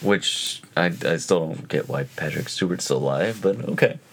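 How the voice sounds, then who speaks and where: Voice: very deep voice, moderate pace, breathy edge. A podcast co-host chatting casually about entertainment, a bit hesitant and full of short interjections. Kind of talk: casual banter and chat about movies and sports.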